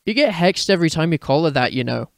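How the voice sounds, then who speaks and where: Guy putting on a high voice for a young witch mom, polite, bit firm, dry storybook read. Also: expressive, fast talker, vocal fry, no room sound. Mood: friendly.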